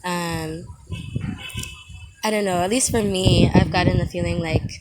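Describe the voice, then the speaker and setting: Soft-spoken young American woman. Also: fairly low pitch, unhurried, very noisy. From United States. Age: young adult. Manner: relaxed, many fillers like "um" and "like". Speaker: interviewee, student. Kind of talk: casual interview.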